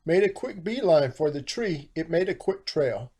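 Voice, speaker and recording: A man reading a children's storybook aloud in a theatrical, animated style with rhyming phrasing. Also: on the high side, normal speed, slightly rough. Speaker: storybook narrator, author.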